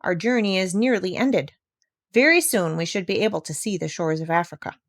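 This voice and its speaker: A woman voicing a kindly, fretful gentleman doctor in a storybook. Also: lowish voice, brisk, no echo, studio quality, lightly acted. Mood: calm. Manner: mild and fretful.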